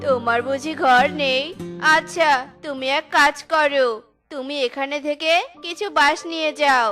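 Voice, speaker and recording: A woman's voice: sits high, steady tone, normal speed, bright, forceful tone, faint music behind. A woman voicing a kindly, generous talking bamboo plant in a children's cartoon. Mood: grateful, generous.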